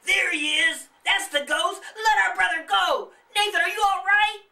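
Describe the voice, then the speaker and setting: Woman doing an alarmed high-pitched boy. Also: moderate pace, creaky, sharp tone, full character voice. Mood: alarmed, defiant. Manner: urgent, exclaiming. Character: alarmed, protective older boy. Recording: roomy, distant microphone.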